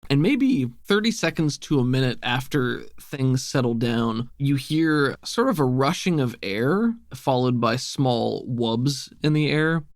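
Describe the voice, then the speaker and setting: A man's voice: mid-range voice, no echo, studio quality. A man working as game master, casually improvising narration and rulings for a tabletop game.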